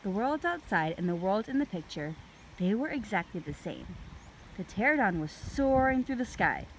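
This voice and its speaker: A woman reading a children's book aloud in an expressive, deliberate storytelling manner. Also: mid-range voice, a little hiss or hum, low-quality recording.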